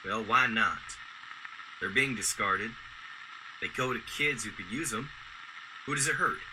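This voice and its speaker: Slow-spoken, gentle working man played by an actor. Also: fairly low pitch, background noise, lightly acted. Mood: earnest. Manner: plain-spoken, unhurried. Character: gentle, earnest working man, kind to children. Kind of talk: golden-age radio drama acting.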